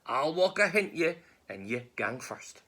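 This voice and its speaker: Woman putting on a slow, gullible Scottish monster. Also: sits low, big pitch swings, fairly bright tone, slightly rough, pitched above the performer's own voice, exaggerated voice. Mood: baffled. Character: big fearsome gruffalo monster, slow-witted and gullible.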